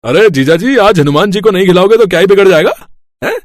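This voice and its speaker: A man's voice: high voice, expressive, fast, no room sound, clean studio sound, pitched above the performer's own voice. A man voicing a chirpy, casual brother-in-law who is eager and coaxing in a moral story. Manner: eager, breezy.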